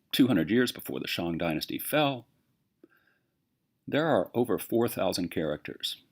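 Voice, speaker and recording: Deep-voiced male teacher lecturing. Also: creaky. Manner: rhetorical questions, emphatic. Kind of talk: classroom lecture.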